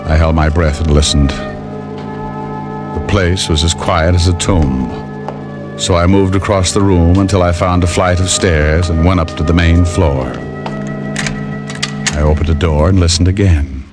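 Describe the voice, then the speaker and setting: An American actor playing a tough, wisecracking private detective in an old radio drama, sardonic and unhurried. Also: male voice, low-pitched, steady tone. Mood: tense narration. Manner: sardonic, hard-boiled, unhurried. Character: tough wisecracking male private detective, menacing yet casual. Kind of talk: 1940s-50s radio detective drama acting. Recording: old radio broadcast recording, music behind.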